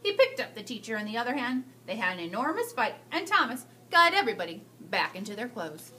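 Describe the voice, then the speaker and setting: A woman in her thirties reading a picture book aloud to children, with expressive, drawn-out emphasis. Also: fairly high pitch, slight background noise. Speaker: school staff storyteller reading to children. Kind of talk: animated children's read-aloud.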